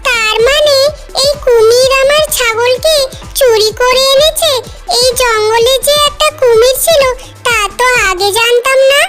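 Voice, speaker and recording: A little cartoon fox, speaking in an extremely high, childlike voice. The fox exclaims and pleads plaintively. Loud music plays behind the voice. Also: a little slow. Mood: indignant. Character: small pleading fox who keeps a pet goat. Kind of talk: children's cartoon story dialogue.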